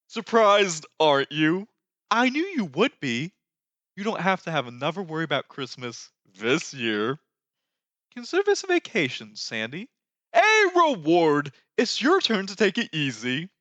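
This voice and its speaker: A performer voicing a lanky skeleton Pumpkin King from an animated musical, grandiose, excitable and melodramatic, with sweeping theatrical delivery. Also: medium pitch, dry recording, full character voice. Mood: genteel, delighted. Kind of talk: fan re-recording of animated musical film lines.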